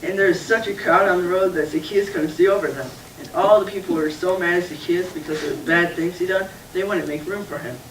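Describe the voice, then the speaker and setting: High-voiced man telling a Bible story. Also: fairly even intonation, fairly quick, few pauses, a bit bright, slight background noise. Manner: patient, explaining storyteller. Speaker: puppeteer playing Carl. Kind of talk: church puppet show, Bible story telling. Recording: echoey room, distant mic.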